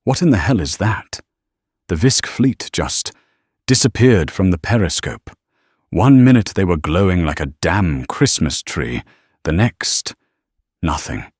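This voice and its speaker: Gruff veteran captain, low chesty rumble with some rasp, swinging intonation, played by a man. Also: sing-song, measured pace, darkish tone, no room sound, clean studio sound, heavily acted. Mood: alarmed. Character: gruff grizzled bearded male starship captain.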